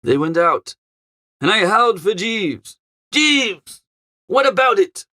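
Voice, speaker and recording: A man playing a flustered, foppish young English gentleman who narrates a comic audiobook in a chatty, melodramatic, exasperated way. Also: high-pitched, big pitch swings, slow, no room sound, studio quality, exaggerated voice. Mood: agitated.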